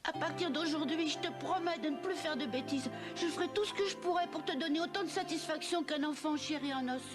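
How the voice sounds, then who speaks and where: An eager young puppet boy from an animated film, voiced by a woman, with a very high, childlike voice. He speaks earnestly and in exclamations, devoted to those he loves. Loud music plays underneath. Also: brisk, lightly acted. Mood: grateful, joyful.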